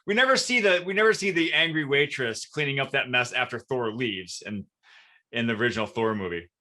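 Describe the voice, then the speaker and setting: A man's voice: mid-range voice, fairly quick, bright, forceful tone. A man with an American accent hosting a podcast interview in an enthusiastic, upbeat conversational style. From Michigan, United States. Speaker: podcast host.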